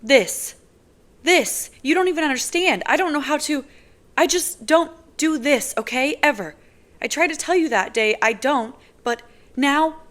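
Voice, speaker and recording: A woman voicing a flustered, defensive young woman in an audiobook, her lines pitched high and strained. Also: unhurried, a bit bright. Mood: pleading.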